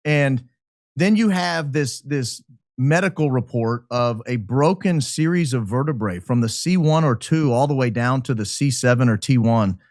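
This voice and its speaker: A man speaking as a podcast guest, giving speculative commentary in an emphatic, deliberate way. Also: medium pitch, animated, a little mellow, no room sound, studio quality. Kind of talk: speculative commentary in a talk show.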